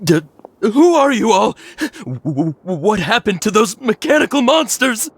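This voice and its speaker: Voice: mid-range voice, very animated, measured pace, husky. An actor voicing a jumpy, frightened stranger who stammers and talks frantically. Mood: panicked. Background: faint game music.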